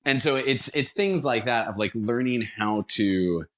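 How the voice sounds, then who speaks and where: Man explaining casually, mid-pitched voice. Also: expressive, unhurried, a bit bright. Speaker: guest, actor and theater producer.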